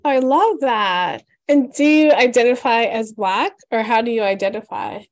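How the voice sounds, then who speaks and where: Encouraging woman interviewer, higher voice. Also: moderate pace. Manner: encouraging, gentle, affirming. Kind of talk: oral history interview.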